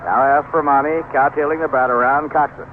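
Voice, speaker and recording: A man's voice: fairly high pitch, fairly even intonation, moderate pace. An American man calling baseball play-by-play as a live radio commentator. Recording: narrow, noisy 1970s AM radio broadcast.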